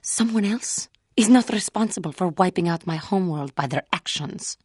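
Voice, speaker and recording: A proud alien warrior woman from a video game. The voice is low and husky, recorded close and dry. She speaks in formal, measured phrases with a wounded, solemn edge. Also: expressive, darkish tone, no room sound. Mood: seething. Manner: formal, measured, stilted earnest diction. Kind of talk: scripted game character dialogue.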